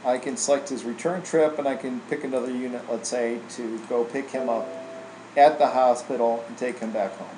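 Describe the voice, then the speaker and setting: A man walking through software in an informal, casual tutorial style, like a trainer showing someone the steps. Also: mid-range voice, fairly even intonation, moderate pace. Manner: casual, informal, tags statements with "right?". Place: indoor room, screen recording voice-over. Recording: roomy echo, faint air-conditioning hum.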